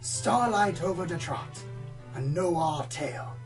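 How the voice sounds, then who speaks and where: A woman's voice: on the low side, lively intonation, slow, recorded from a distance, soft background music. A woman playing a pompous, dramatic male pony storyteller who loves noir detectives, florid and self-important, in a comedic radio play. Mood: earnest, grand. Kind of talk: comedic radio play, hardboiled narration parody.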